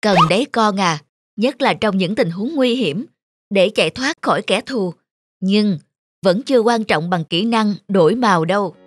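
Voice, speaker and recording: A woman voicing a caring, patient mother chameleon who teaches her young in a children's cartoon, gentle and instructive. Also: medium pitch, animated, normal speed, natural voice.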